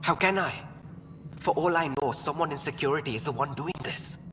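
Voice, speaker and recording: A man playing a wary, halting station technician in a video game. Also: fairly high pitch, lightly acted. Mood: anxious, guarded. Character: wary male station technician, paranoid about sabotage. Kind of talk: video game character dialogue. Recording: reverberant hall with noisy ambience.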